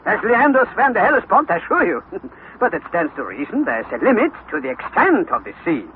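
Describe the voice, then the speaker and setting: An actor playing an excitable, stubborn older professor uncle, pompous and exclamatory, in a 1960s radio adventure serial. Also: male voice, sits high, brisk. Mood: indignant, resolute. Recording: tinny, hissy vintage radio broadcast.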